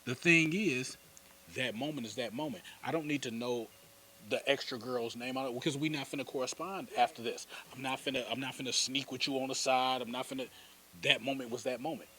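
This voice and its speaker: A man with a deep voice, a guest in a casual podcast chat, talking animatedly and repeating himself in slangy speech. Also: fairly quick, pushed and bright, a little hiss or hum.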